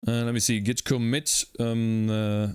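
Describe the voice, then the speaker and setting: A man chats casually while coding on a live stream, thinking aloud and greeting viewers one by one. His voice sits at an ordinary mid pitch. The sound is close and dry, with keyboard typing heard behind him. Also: level tone, unhurried, clean tone, no room sound. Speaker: livestreaming game developer.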